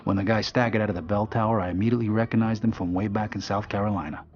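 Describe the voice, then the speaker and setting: An actor playing a bitter, foul-mouthed mobster, narrating a video game story in gruff, cynical street slang. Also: male voice, fairly low pitch, fairly even intonation, rapid-fire, dull audio, close to an everyday voice. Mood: bitter, recounting.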